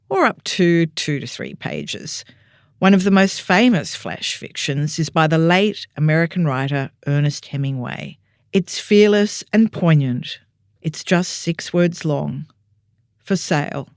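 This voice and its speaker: A woman's voice: sits low, darkish tone, no echo, clean studio sound. A woman, hosting and introducing story readings in a scripted narration style. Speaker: podcast host.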